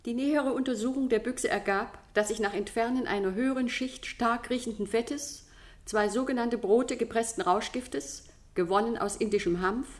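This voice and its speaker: An actress playing a precise, dryly pedantic police typist in a radio drama. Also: female voice, fairly high pitch, normal speed, lightly acted. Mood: formal. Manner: precise, dry, correcting.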